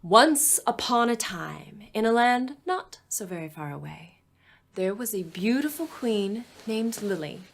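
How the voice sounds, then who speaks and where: Cheerful young tutor, playfully teasing. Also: female voice, a little high, sing-song, unhurried, creaky, full character voice. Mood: storytelling, playful. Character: cheerful, patient young woman tutoring a teenager. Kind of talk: naturalistic web series acting.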